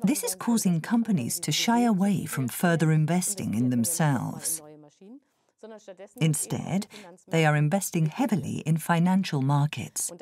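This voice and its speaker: Low, mellow woman stressing serious points. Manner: serious and emphatic. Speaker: interviewed expert.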